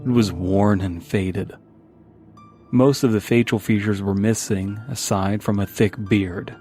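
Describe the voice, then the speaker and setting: A man with a fairly low voice narrates a creepy story in the first person. It is a scripted horror reading, with music playing faintly behind him. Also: fairly even intonation, measured pace, mellow. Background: background music.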